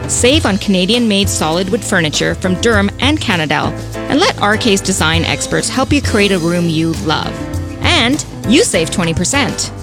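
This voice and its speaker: A woman reading a radio commercial in an upbeat, smooth selling way. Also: mid-range voice, sing-song, moderate pace, over music. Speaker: ad announcer.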